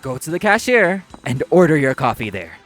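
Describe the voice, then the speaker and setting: An actor playing a primly formal, sarcastic, exasperated waiter in an audio roleplay. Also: male voice, on the high side, very animated, moderate pace, fairly bright tone, faint music behind. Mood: sarcastic, vengeful amusement.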